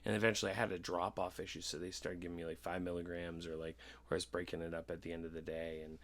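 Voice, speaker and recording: A man in his thirties speaks in a low, creaky voice. He chats casually, as on a podcast, and rambles along candidly with frequent stops and restarts. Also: flat delivery, a bit bright. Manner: halting, rambling, candid, swearing freely. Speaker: podcast host. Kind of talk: casual podcast chat about personal experience.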